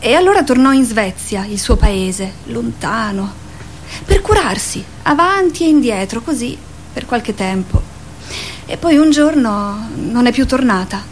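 A woman playing a tender, wistful mother in a radio drama, speaking in a gentle, reflective way. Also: on the high side, animated, measured pace, slight background noise. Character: wistful, tender adult mother of a young boy. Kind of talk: theatrical radio drama acting.

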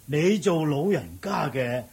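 Kindly village elder: slow, very raspy, halting peacemaker for radio drama. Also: male voice, fairly high pitch, animated, darkish tone, heavily acted. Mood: gently coaxing. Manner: halting, full of hums and sighs. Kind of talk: Cantonese radio drama acting.

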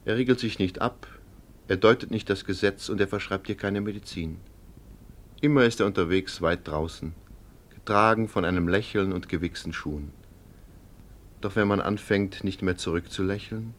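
An actor playing a solemn, loyal friend giving a eulogy in a 1950s German radio drama, measured and elegiac. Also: male voice, low-pitched, close to an everyday voice.

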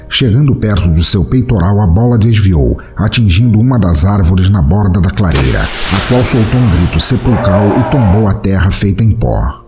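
A man with a Brazilian accent reading a scripted fantasy story as narrator. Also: low voice.

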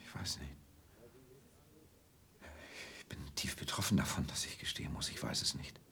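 An actor playing a courteous, troubled loner in his late thirties in a radio drama, with a formal, hesitant, often hushed delivery. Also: very deep voice, moderate pace, in a whisper, exaggerated voice. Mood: hesitant, earnest. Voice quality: husky, gravelly, often hushed. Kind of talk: German radio drama acting.

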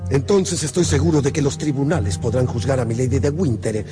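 An actor playing a scheming, composed cardinal in a radio drama, formal and measured. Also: male voice, mid-range voice, close to an everyday voice. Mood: composed, probing. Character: scheming, composed cardinal, authoritative powerful man. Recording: music behind the voice.

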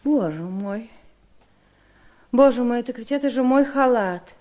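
An actress plays a sweet, timid sister-in-law in a 1970s radio drama. Her high voice gushes with naive, flustered eagerness. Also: unhurried, mellow, natural voice. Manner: breathlessly eager, naive, gushing. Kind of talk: 1970s Soviet radio play acting.

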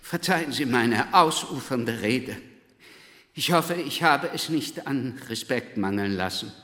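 A man's voice: mid-range voice, expressive, a little slow, fairly bright tone. A man acting a servile, nervous philosophy professor in a radio play, with obsequious, pedantic and flowery speech. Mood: timid, servile. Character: servile, nervous philosophy professor pleading before a high court. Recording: large hall with long reverb.

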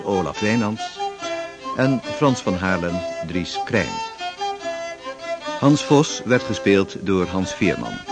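Male announcer reading radio play credits, lowish voice, lively melody, loud violins behind. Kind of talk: scripted announcement. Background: string music.

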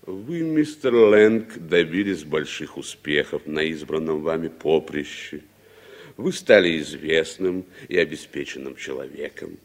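Very deep-voiced stern official. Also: male voice, a little slow, full character voice. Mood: probing. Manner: formal, imperious, cutting. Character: stern, humourless American congressional committee chairman interrogating a witness. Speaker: actor in a radio play. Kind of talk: 1960s Soviet radio drama acting.

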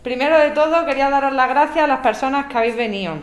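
Woman playing a high-voiced brisk activist chairing an assembly. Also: natural voice. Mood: brisk, organising. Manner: brisk, organising, addressing a group. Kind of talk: scripted amateur radio drama.

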